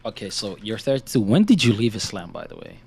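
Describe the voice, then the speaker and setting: A man's voice: lowish voice, wildly swooping pitch, fast, vocal fry, a little mellow, husky. A young man in casual voice chat, blunt and teasing, swearing constantly. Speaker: interviewer on a stream call.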